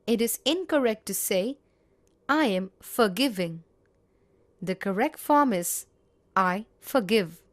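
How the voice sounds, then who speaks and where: A woman teaching grammar, reading example sentences in a measured, deliberate way. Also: medium pitch, expressive. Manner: measured, spells out each example. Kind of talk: slow, deliberate grammar lesson.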